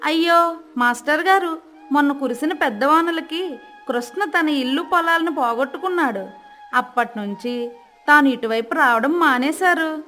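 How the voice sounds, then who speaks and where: High, bright, chatty village auntie acted by a woman. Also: moderate pace, soft background music. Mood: sympathetic. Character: helpful, chatty village aunt. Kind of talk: animated moral story dialogue.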